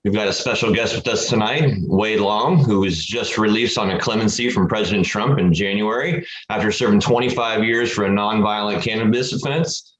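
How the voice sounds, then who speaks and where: A man's voice: lowish voice, normal speed, few pauses. A man casually introducing and interviewing a guest as co-host. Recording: echoey room with crowd murmur.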